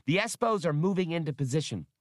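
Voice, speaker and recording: A man voicing a small, eager, boyish computer in an audiobook, with a proud, chirpy, excitable manner. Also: a little high, fast, dry recording, studio quality, voice pushed up, lightly acted. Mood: excited, blurting.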